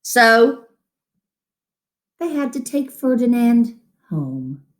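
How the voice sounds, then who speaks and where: A woman's voice: on the high side, very animated, extremely slow. A woman reading a picture book aloud to children as a storyteller, with dramatic emphasis and asides to her listeners.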